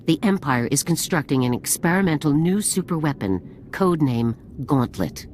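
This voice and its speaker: Low-voiced, commanding female general. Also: animated, normal speed, a little mellow, slight background noise. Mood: stern, businesslike. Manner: formal, commanding, clipped. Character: stern older female military general, authoritative and brisk. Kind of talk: scripted video game acting.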